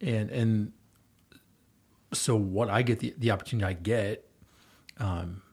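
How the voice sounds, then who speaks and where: A man's voice: fairly low pitch, unhurried, darkish tone, husky. A middle-aged man talking casually and earnestly, rambling with fillers and restarts as he talks about coaching his kids. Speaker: guest and volunteer girls' basketball coach. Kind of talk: casual conversation.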